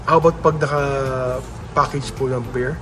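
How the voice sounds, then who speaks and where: A man's voice: mid-range voice, steady tone, unhurried. A Filipino man acting as interviewer, politely asking informal questions about a product. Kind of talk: informal customer-style questioning. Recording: music playing behind the voice. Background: music.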